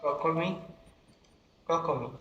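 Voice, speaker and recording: A man's voice: a little high, racing, highs cut off, slight background noise. A man with a Malayali accent giving casual commentary as he plays through a game. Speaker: gaming streamer. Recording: echoey room, game music behind.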